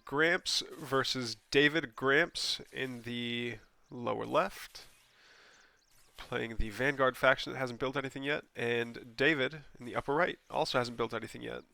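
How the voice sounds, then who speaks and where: A man casting a game replay on his own, with a stuffy, scratchy voice from a cold, talking casually with lots of ums and restarts and sudden bursts of excitement. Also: medium pitch, measured pace, vocal fry, dry recording. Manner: casual, rambling, with frequent ums and self-corrections. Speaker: game caster. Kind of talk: solo replay commentary.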